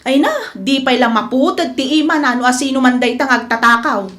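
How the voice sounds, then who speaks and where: An exasperated village housewife played by an actress in a radio comedy. Her voice is high, and she gripes emphatically. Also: a little fast, a bit bright. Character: exasperated village housewife griping about thieves. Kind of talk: comedy radio drama acting.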